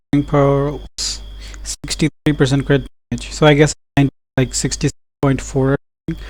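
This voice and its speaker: A man's voice: a little high, a little mellow, low-quality recording. A man giving casual gameplay commentary as a gaming YouTuber, in short offhand reactions.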